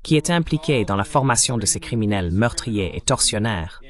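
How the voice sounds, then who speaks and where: A man giving measured, didactic political commentary as a dubbed interview guest, stressing his key points. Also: fairly low pitch, animated.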